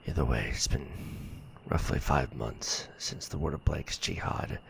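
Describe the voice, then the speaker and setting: Man acting as very deep, gravelly, weary mech pilot. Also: whispered, full character voice. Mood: weary, bitter. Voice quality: very deep, gravelly, breathy murmur. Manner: low muttering with sighs and hesitant pauses. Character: weary, bitter male stranded mech warrior soldier. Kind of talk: sci-fi ASMR roleplay audio log monologue.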